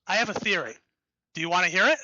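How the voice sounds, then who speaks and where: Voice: medium pitch, fast talker, bright, forceful tone, no echo, highs cut off. An actor playing an enthusiastic, rambling writer who created the hero, in an audio drama. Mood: eager, apologetic. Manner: enthusiastic, rambling, self-interrupting.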